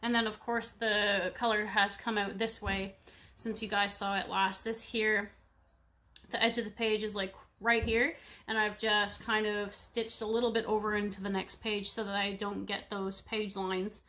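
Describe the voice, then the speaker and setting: A woman in her thirties talks to her webcam at home, her nose stuffed up and congested. Her voice is bright and a touch sharp, and she wanders from thought to thought with plenty of fillers. She keeps it friendly and chatty with her viewers. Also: medium pitch, flat delivery, moderate pace. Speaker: cross-stitch vlogger. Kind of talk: casual show-and-tell chat. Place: home room with bookshelves. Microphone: webcam at desk distance.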